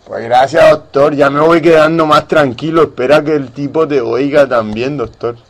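A man's voice: fairly high pitch, moderate pace. A man hosting a radio show in a conversational interview style.

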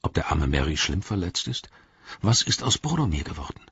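A man voicing a frightened, cold young male hobbit held captive, asking himself anxious, self-reproaching questions in a husky voice. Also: sits low, big pitch swings, a little fast, fairly bright tone, no echo, muffled recording, full character voice. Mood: worried. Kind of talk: audiobook character voice.

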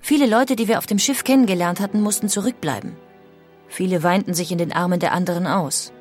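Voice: mid-range voice, fast talker, faint music behind, close to an everyday voice. An actor voicing an immigrant who plainly and ruefully recalls being questioned on arrival. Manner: plain, rueful first-person recollection. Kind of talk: audio drama reading.

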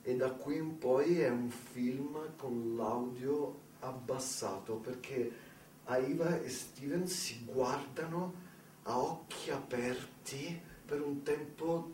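Male solo stage storyteller, mid-pitched, conversational with dramatic pauses; echoey room pickup. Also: darkish tone. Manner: dramatic pauses, asides to the listener. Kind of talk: theatrical monologue narration, conversational. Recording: roomy, distant mic, faint noise.

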